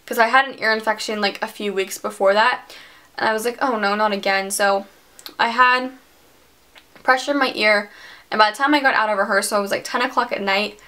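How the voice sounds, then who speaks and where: A woman's voice: mid-range voice, steady tone, fairly quick, pushed and bright. Chatty teenage girl telling a story. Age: teens. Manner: chatty, heavy use of "like". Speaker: vlogger. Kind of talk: casual storytime vlog.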